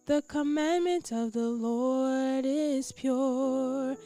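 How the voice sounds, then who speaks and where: A woman singing a devotional scripture song in drawn-out phrases. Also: high voice, mellow. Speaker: singer. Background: musical accompaniment.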